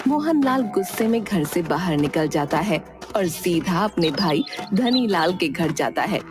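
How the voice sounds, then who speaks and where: Woman, cartoon story narrator, even and matter-of-fact, music underneath. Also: lowish voice, measured pace. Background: background music.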